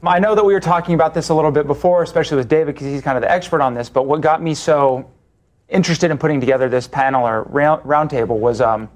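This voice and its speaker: A man's voice: on the high side, fast. Hesitant man opening a panel. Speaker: panel host. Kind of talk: roundtable discussion.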